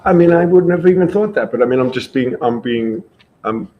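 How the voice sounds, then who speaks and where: A man's voice: lively intonation, fairly quick, narrow, tinny audio. A man hosting a casual livestream interview, thinking aloud and repeating himself for emphasis. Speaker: livestream host. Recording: clear rumbly background noise.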